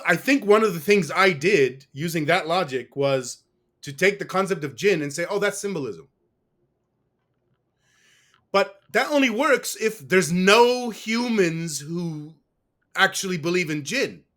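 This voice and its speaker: Man, somewhat high voice, guest in a casual interview, rambling, restarts a lot. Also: a bit bright. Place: West.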